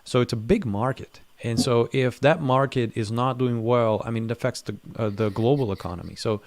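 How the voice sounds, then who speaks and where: A man's voice: mid-range voice, normal speed. Ukrainian guy co-hosting, accent, casual explainer talk, bit hesitant, dry sound no room echo. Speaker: co-host of a live talk show. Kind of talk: casual conversational commentary.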